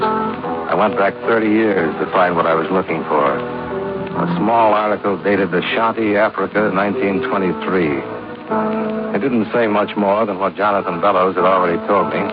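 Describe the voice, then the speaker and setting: An actor playing a wry, tough American insurance investigator in a 1950s radio crime drama, dry and clipped. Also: male voice, low voice, natural voice. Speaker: detective lead and narrator. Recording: dull, noisy vintage radio recording.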